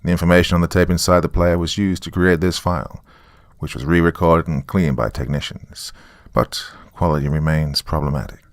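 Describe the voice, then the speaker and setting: A man narrating a scripted horror story in a grave, deliberate, dramatic way. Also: deep voice, steady tone, no room sound.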